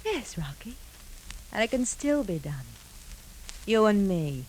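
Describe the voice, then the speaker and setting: A woman's voice: medium pitch, sing-song, takes it slowly, darkish tone. An actress playing a scheming, ruthless woman who coyly feigns innocence, in a theatrical 1950s radio drama style. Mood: calculating, persuasive. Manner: theatrical, coy, calculating. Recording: noisy 1950s radio broadcast recording.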